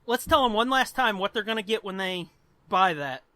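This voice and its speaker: A man's voice: sits high, lively intonation, normal speed, pushed and bright, no room sound. A man co-hosting a podcast in casual, profane, rambling chat. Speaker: podcast co-host.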